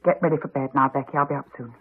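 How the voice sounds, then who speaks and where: Husky, weary, bitter wife. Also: female voice, a bass voice, fairly even intonation, rapid-fire. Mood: guarded. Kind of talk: 1980s radio drama acting. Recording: narrow-band 1980s radio recording, phone-like.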